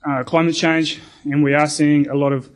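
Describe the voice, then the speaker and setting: New Zealand man giving a measured talk. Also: a little high, level tone. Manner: deliberate, frequent ums and repeated words. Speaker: conference speaker. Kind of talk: conference presentation.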